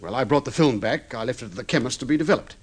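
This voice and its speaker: A man's voice: mid-range voice, lively intonation, racing, slightly rough. An actor playing an agitated, guilty husband in an old radio drama, pleading earnestly and stumbling over his words. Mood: confessing, anxious. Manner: earnest, flustered, hesitant and repeating himself. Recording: slightly muffled vintage radio drama recording.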